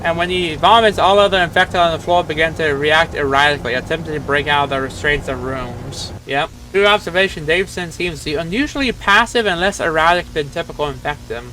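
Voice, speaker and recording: A man's voice: on the high side, fairly quick, few pauses, sharp tone, a little hiss or hum, poor audio. A man giving casual reaction commentary, sometimes reading text aloud, as the host of a horror video reaction.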